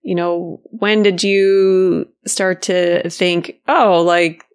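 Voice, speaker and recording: A woman's voice: lowish voice, steady tone, extremely slow, clear, resonant tone. A woman hosting a casual interview, asking long, meandering questions and laughing along with her guest. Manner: friendly, laughing, asks long rambling questions. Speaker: interviewer, podcast host.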